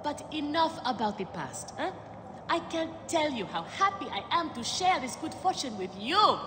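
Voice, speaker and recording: A woman's voice: high-pitched, big pitch swings, moderate pace. A woman playing a brash, boastful female gladiator with a swaggering, exuberant manner. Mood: friendly, proud. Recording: reverberant hall, noisy ambience behind.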